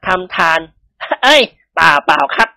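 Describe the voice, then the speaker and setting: A woman voicing a meek, fawning young male servant who pleads slowly in a lowered voice. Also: pushed and bright, no echo, clean studio sound. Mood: pleading.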